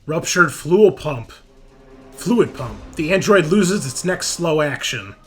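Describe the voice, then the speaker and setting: Bright-voiced man running a tabletop game. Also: a little high, animated, slow, soft background music. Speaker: game master. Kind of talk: casual tabletop game refereeing.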